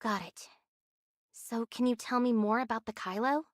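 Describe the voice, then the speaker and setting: Woman playing an earnest, high-voiced young heroine. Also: normal speed, no room sound, studio quality, lightly acted. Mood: curious. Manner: inquisitive, direct, earnest. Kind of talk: scripted anime game voice acting.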